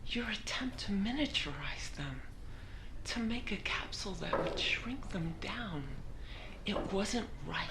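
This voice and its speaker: A woman's voice: mid-range voice, lively intonation, measured pace. An actress playing an anguished, doting mother, hesitant and sorrowful as she pleads for her condemned son. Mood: anguished protest. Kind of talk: film drama acting. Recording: noisy room ambience.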